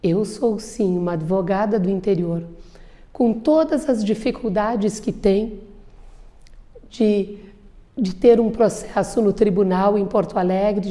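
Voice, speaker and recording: A woman. Her voice is warm and mellow, and she talks slowly. She answers interview questions in an earnest, emphatic way. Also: mid-range voice. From southern Brazil. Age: 50s. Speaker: lawyer and businesswoman.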